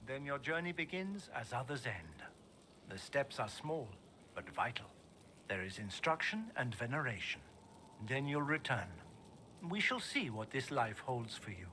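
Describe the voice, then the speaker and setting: An actor playing a solemn necromancer teacher in a video game, speaking in a formal, grandiose and deliberate way. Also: male voice, mid-range voice, sing-song, sharp tone, a little hiss or hum. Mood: reverent. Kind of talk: video game character dialogue.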